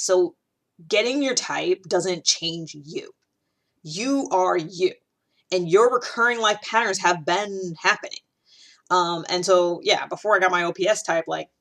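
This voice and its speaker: A woman's voice: fairly low pitch, vocal fry, fairly bright tone. A woman hosting a casual talk, chatty and thinking aloud with many fillers, restarts and light laughs. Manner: laughs often between thoughts. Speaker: host.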